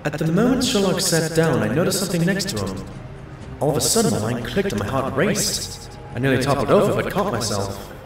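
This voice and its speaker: An actor playing a modest, loyal pony doctor who narrates and talks in an audio drama in an earnest, expressive way. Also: male voice, a little high, brisk, over music. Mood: reflective narration.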